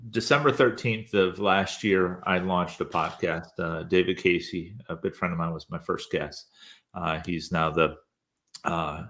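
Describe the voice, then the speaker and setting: A man's voice: low-pitched, fairly bright tone. A man in his fifties, a guest telling his career story in an easygoing, rambling way with lots of hesitant fillers. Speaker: podcast host, interview guest.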